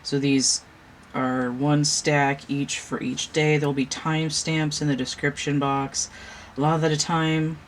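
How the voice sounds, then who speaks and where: A woman hosting a casual tarot reading vlog at home, talking in a halting, meandering way with a stuffy nose. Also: sits low, little pitch movement. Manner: halting, many fillers, slow and meandering. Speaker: tarot reader and channel host. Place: home room with a fan running. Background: fan noise.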